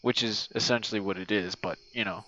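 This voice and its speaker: Low-pitched man rambling softly to a buddy. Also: steady tone, lo-fi sound. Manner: rambling, hesitant, halting, informal with swearing. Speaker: content creator recording an audio message. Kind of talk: casual ASMR voice message to a friend. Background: crickets and night insect sounds.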